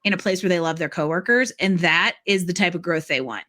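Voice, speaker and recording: Bright-voiced woman hosting a podcast. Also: fairly low pitch, fairly quick. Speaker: podcast host interviewing a guest. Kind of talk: conversational podcast interview.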